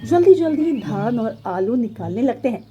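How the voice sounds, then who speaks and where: High-voiced woman narrating a children's story. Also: animated, normal speed, warm tone, muffled recording.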